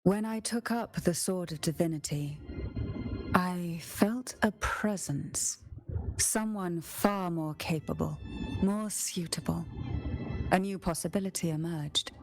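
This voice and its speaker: Stately game noblewoman, lowish pitch, very slow delivery, formal and solemn. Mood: grave, reflective. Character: solemn, refined noblewoman, head of a castle family. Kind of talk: dramatic video game dialogue. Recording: game audio with faint music behind.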